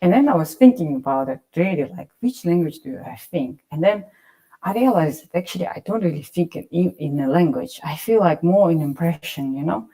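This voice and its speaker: Low-voiced woman, searching for words. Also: animated, a little fast, dark tone, rough recording. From Russia. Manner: thoughtful, searching for words, frequent restarts. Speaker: panelist, poet. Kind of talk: panel discussion.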